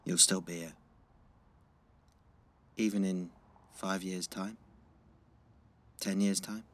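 A gentle teenage boy with a low voice. He is understated and thoughtful, a little hesitant, in the natural style of an audio play. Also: fairly even intonation, slow. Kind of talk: naturalistic audio drama dialogue.

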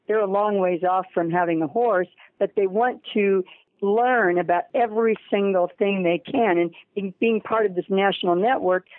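A woman's voice: medium pitch, no echo. A woman being interviewed, explaining her program casually and emphatically. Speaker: interview guest. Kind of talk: casual spoken pitch for a program. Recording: tinny narrowband phone line.

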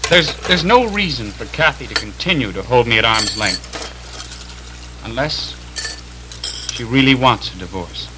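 A man's voice: mid-range voice, lively intonation, measured pace, long pauses, lightly acted. A man playing a troubled, doubting husband in an old-time radio soap. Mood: troubled, hesitant. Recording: old radio serial with crackling noise.

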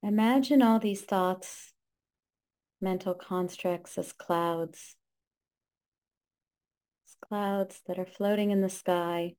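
A woman leading a guided meditation, giving calm, gentle instructions in short, spaced phrases. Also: mid-range voice, takes it slowly, long pauses.

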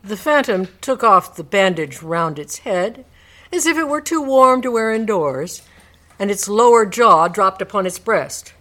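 Female narrator, medium pitch, dramatic storybook reading, radio play narration. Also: expressive, measured pace, fairly bright tone.

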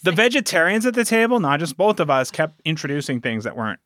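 A man's voice: on the high side, sing-song, fast talker, fairly bright tone, slight background noise. A man talking casually as a podcast guest, telling stories and quoting himself and others as he goes. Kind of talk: casual interview conversation.

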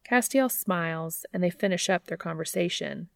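A woman reading scripted fiction aloud as a story narrator, with a creaky voice. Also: lowish voice, normal speed, no echo, studio quality. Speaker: audiobook narrator of fan fiction.

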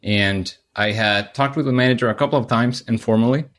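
A man, a software engineer, speaking candidly and confidently as a podcast guest telling his career story. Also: mid-range voice, a little fast. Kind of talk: podcast interview.

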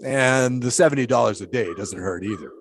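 A man's voice: medium pitch, fairly quick, a little hiss or hum, lightly acted. An actor playing a grandiloquent, philosophical 1920s showman in an audio drama, musing in wordy, theatrical speeches. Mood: probing, insistent.

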